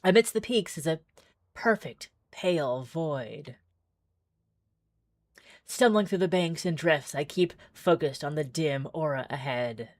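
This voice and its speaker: A woman's voice: sits low, expressive, takes it slowly, pauses often, dry recording. A woman streaming a visual novel, moving between casual, rambling commentary and dramatic read-aloud narration.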